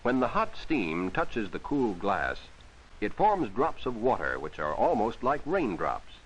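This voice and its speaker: Man, vintage 1940s film soundtrack, children's educational narration, very animated swooping pitch, instructive, simple explanations. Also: unhurried, slightly rough, low-quality recording.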